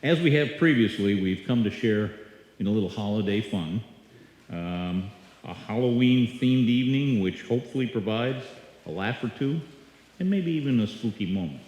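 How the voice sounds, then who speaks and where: A man hosting a live old-time radio evening, welcoming the audience and telling stories in a droll, deliberate way. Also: on the low side, animated, darkish tone. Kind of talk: live stage welcome and storytelling. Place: live performance in a hall before an audience. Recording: echoey hall, picked up from the stage.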